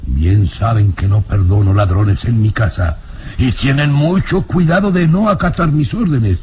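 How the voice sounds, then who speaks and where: An actor playing an irascible old baron in a vintage radio serial, speaking formally and emphatically with indignant menace. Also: male voice, mid-range voice, a little slow, a little mellow, slight background noise, lightly acted. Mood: angry, imperious. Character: irascible, imperious old baron, nervous castle lord. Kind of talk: vintage Spanish-language radio serial acting.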